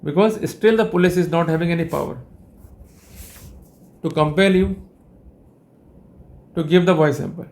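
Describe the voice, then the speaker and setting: A man's voice: a little high, normal speed, gravelly, long pauses, a little mellow. A man with an Indian accent giving a legal lecture in a formal, wordy, didactic way. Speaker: legal educator and host.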